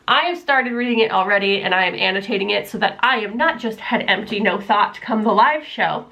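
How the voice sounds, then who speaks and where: Young woman, slightly high voice, stuffy nasal tone, rambling casual vlog delivery, home room on a camera mic. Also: fairly bright tone, rough recording. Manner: rambling, many fillers, self-deprecating. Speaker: book vlogger. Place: room at home, not yet set up for filming. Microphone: camera mic, camera propped on books.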